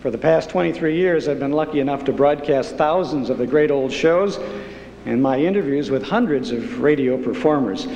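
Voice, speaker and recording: A man's voice: mid-range voice, moderate pace, a little mellow. Humble man speaking in an echoey hall. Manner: humble, earnest. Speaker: inductee giving acceptance speech. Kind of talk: formal acceptance speech. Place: ceremony hall stage. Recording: echoey hall, faint noise.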